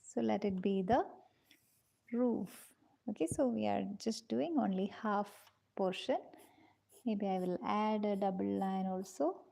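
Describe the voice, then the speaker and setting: A woman teaching a live online drawing class, gentle and patient, calling her students dears and guiding them step by step. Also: unhurried, vocal fry. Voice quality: low-pitched voice, in her own words.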